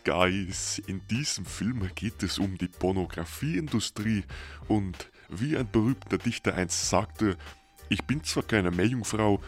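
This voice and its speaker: A man reading a scripted, deadpan comic film synopsis full of crude jokes as a podcast co-host. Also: low voice. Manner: deadpan crude parody narration.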